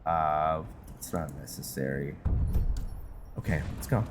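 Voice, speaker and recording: Male gameplay commentator, low register, slow and halting delivery, casual thinking-aloud style, music clearly behind. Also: fairly even intonation. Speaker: game streamer narrating his roleplay playthrough. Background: game music.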